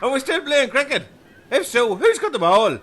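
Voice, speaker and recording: A man's voice: extremely high pitch, sing-song, moderate pace, fairly bright tone, exaggerated voice. A man voicing a brash, callous, money-grubbing character in a scripted comedy audio drama. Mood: impatient. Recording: faint background noise.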